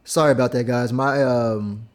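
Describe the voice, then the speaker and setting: A man's voice: medium pitch, steady tone, normal speed. A man walking through a casual music production tutorial in a laid-back, rambling way, with fillers and the odd swear word, recorded in a home studio. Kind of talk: casual screen-share tutorial.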